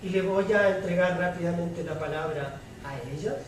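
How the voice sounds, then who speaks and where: A man moderating a public panel in a semi-formal, measured way, with deliberate, halting phrasing. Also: high-pitched. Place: public debate room with audience. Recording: very echoey hall, distant mic, clear noise.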